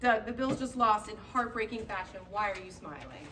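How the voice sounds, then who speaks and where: Actress playing a loyal, fed-up young sports fan, high and lively, comedy table read in a noisy room. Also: female voice, brisk, natural voice. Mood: exasperated. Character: exasperated, loyal young woman football fan. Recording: distant mic, noisy room.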